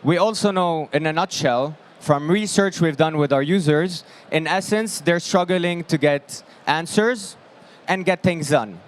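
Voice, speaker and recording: A man's voice: fairly high pitch, measured pace. Man with a strained, fading voice. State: losing his voice from shouting. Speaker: product presenter. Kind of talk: conference stage talk. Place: large indoor expo hall stage. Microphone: handheld microphone.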